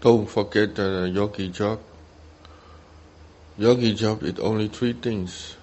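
A man with a Burmese accent, a meditation teacher, giving guided instruction in short simple phrases with long pauses between them. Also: lowish voice, fairly even intonation, a little mellow. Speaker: meditation teacher, Buddhist monk.

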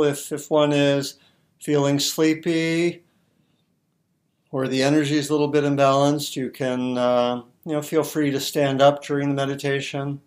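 Man, meditation teacher, mid-pitched voice, very unhurried pace, halting short phrases, gentle guided instruction.

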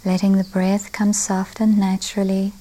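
A woman meditation teacher leading a guided meditation in a gentle, unhurried way with long pauses. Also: mid-range voice, monotone, poor audio. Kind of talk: guided loving-kindness meditation.

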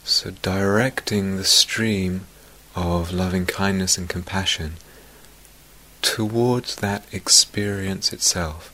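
Low, very slow male meditation guide. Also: steady tone. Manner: gentle, soft-spoken, with long pauses and phrases trailing toward a whisper. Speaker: meditation teacher.

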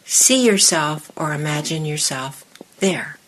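A woman meditation teacher speaking to a group in measured, deliberate short phrases with pauses, her voice husky and breathy. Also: low-pitched, lively intonation, a bit bright, a little hiss or hum. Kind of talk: guided meditation and dharma talk to a group in the room.

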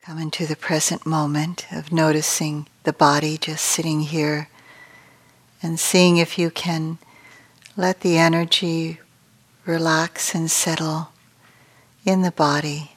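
Slow, even-toned woman guiding meditation. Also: low-pitched. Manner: gentle, unhurried with long pauses. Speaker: meditation teacher. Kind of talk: guided meditation.

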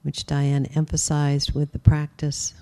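Woman, meditation teacher, soft dark tone, very even pitch, gentle, unhurried, long pauses. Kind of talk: guided meditation.

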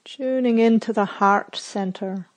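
A woman guides a meditation at a very unhurried pace. Her voice sits at a middle pitch. She gives gentle instructions in short phrases, leaving plenty of silence between them. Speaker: meditation teacher.

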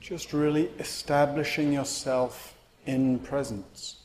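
Slow-speaking man guiding meditation. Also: medium pitch, fairly even intonation, a little mellow. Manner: measured, instructive, short phrases with pauses. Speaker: meditation teacher. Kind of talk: guided meditation. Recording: clear background noise, room ambience.

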